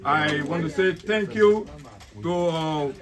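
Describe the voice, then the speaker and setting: A man serving as a board secretary gives a formal thank-you speech at an outdoor community gathering. He talks in a measured way and often repeats words while he searches for the next one. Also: fairly high pitch. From Liberia. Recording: noisy crowd all around. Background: crowd chatter.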